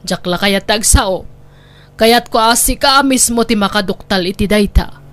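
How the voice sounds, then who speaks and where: A woman's voice: medium pitch, measured pace, a bit bright, lightly acted. A woman with an Ilocano Filipino accent playing an older, motherly neighbour, earnest and advising, in audio drama style. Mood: concerned.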